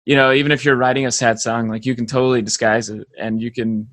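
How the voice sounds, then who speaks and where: A man's voice: medium pitch, steady tone, fast, a bit bright, no echo. Young American man, close dry sound. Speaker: band member, interview guest. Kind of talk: casual interview chat.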